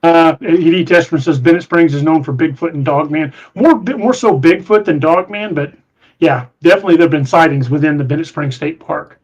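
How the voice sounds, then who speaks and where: Give me a man with a twang and a slightly high voice, hosting a podcast and rambling through listener comments with lots of restarts. Dull, lo-fi recording. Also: fairly quick, slightly rough. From Missouri, USA. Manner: rambling, hesitant with many restarts. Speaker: podcast host. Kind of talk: casual live-stream chat reading comments.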